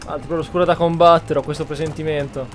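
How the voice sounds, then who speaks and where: A man's voice: a little high, fairly even intonation, racing, over music. A man giving casual commentary as he plays a video game. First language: Italian. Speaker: gaming streamer.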